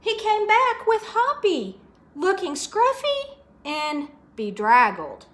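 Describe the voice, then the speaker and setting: A woman's voice: extremely high pitch, sing-song, takes it slowly, fairly bright tone. A woman reading a picture book aloud to young children, expressively, with chatty asides and questions to her listeners.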